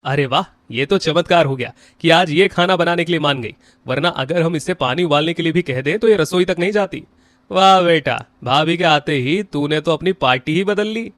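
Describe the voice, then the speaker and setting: A man's voice: on the high side, normal speed, a bit bright, no room sound, studio quality, close to an everyday voice. A man playing a demanding, teasing family man with a mock-complaining manner in a moral story. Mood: teasing surprise. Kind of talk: moral-story character acting.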